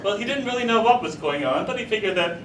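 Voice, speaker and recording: A man giving a humorous tall-tale speech to a live audience, deliberately, pausing for punchlines. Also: animated. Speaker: storyteller at a speech contest. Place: room with a live audience, recorded from a distance. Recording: roomy, distant mic, rumbling noise.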